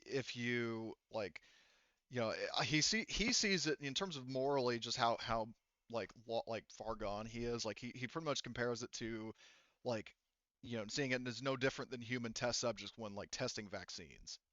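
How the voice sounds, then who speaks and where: A man running a tabletop roleplaying game as game master, explaining story and rules in a halting, informal way. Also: mid-range voice, fairly bright tone, dry recording, lo-fi sound. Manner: halting, hedging, informal and profane.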